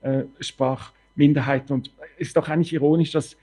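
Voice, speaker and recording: A man's voice: a little high, steady tone, brisk, dark tone. A man moderating a panel and putting questions in spontaneous speech.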